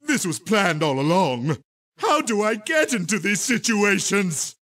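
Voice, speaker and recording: A man voicing a manic, arrogant cartoon evil scientist for a video game, pompous and theatrical in shouted exclamations. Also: sits high, very animated, unhurried, full character voice. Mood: scheming, smug.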